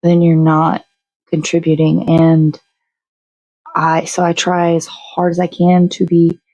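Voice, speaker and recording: A woman's voice: lowish voice, monotone, slow, warm tone, dry recording, muffled recording. A woman hosting a morning show in casual chat, talking in a blunt, sweary, rambling way.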